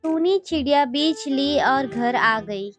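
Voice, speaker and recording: A woman's voice: sits high, lively intonation, takes it slowly. A woman narrating a scripted children's story as the storyteller. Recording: music behind the voice.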